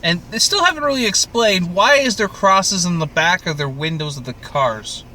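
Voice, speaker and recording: Bright-voiced man chatting over background noise. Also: high voice, expressive, moderate pace. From Canada. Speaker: podcast co-host. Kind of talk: casual profane banter and TV recap chat.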